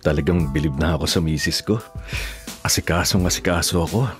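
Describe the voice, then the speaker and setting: A man's voice: a bass voice, a little fast, faint music behind. A man acting in a Filipino radio drama as a man of the household, emphatic and melodramatic, with a Filipino accent. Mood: affectionate. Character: men of a family: devoted husband, brash stepson.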